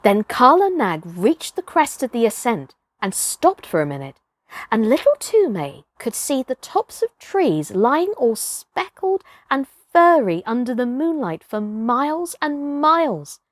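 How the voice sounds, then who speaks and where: A woman reading a children's story expressively, with dramatic delivery that moves between hushed and emphatic. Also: high voice, big pitch swings, a little slow, no room sound, clean studio sound. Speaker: storybook reader, performer.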